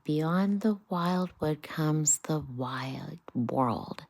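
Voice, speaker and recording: A woman's voice: deep voice, lively intonation, very slow, gravelly, darkish tone, no room sound, exaggerated voice. A woman voicing a chatty, worldly male water rat, cautious and dismissive, in a children's bedtime storybook reading. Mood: firm, dismissive.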